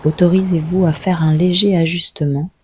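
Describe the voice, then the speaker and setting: A woman leading a guided yoga nidra meditation, giving gentle, unhurried instructions with long pauses. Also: lowish voice, fairly even intonation, slight background noise.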